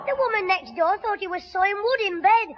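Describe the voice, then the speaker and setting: Cheeky high-voiced schoolboy. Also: male voice, a little fast. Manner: sarcastic wisecracking. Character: cheeky young schoolboy, quick with backchat. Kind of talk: 1960s radio sitcom acting. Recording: muffled, noisy old radio recording.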